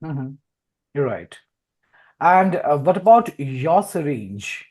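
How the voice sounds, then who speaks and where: A man hosting a literary interview in a deliberate way, often repeating his phrases. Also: on the high side, sing-song, a little mellow. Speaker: host, poet and publisher interviewing an author.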